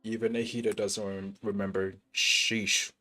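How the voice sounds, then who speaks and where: A man's voice: fairly low pitch, little pitch movement, unhurried, pushed and bright, soft background music. A man streaming a video game, making casual, mumbled asides with fillers and slang. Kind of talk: casual gaming commentary.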